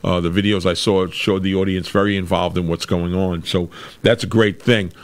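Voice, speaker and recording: A middle-aged man with a very deep voice, chatting casually as a podcast host over a video call. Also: fairly even intonation, normal speed. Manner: chatty, with restarts and laughs. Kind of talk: casual interview chat. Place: room with a brick wall.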